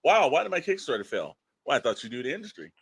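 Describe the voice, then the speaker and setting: Male podcast co-host, mid-pitched voice, emphatic and opinionated, loose colloquial delivery that rambles. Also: huge pitch swings, fast talker, fairly bright tone, breathy edge, no echo. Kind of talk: opinionated casual podcast talk.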